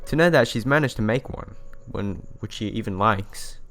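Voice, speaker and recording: A man voicing a kindly middle-aged father in a visual novel, gentle and courteous. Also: on the low side, expressive, moderate pace, faint music behind. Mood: earnest, approving. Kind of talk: visual novel character dialogue.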